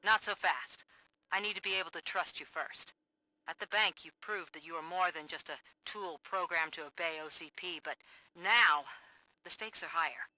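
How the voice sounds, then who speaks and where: Investigative reporter, game cutscene, piercingly bright voice, distrustful, pointed delivery, dry sound. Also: female voice, fairly low pitch, normal speed, dry recording, poor audio. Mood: wary, insistent. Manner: pointed, challenging. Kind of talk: video game cutscene acting.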